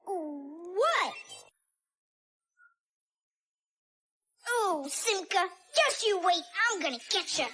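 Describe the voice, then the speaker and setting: A woman voicing a stubborn, excitable little boy creature in a cartoon, eager and boastful. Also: very high-pitched, slow pace, full character voice. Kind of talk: animated cartoon voice acting.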